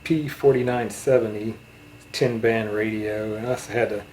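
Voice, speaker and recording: A man in a casual interview chat, reminiscing in a halting way. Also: fairly low pitch, steady tone, darkish tone, slight background noise, poor audio. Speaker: interviewer, channel host.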